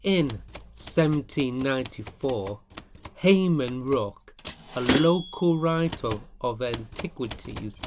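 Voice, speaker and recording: A man delivers scripted history narration at a very slow pace. He speaks deliberately in short phrases at a medium pitch. There is clear background noise with clicking behind him. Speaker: documentary narrator and host.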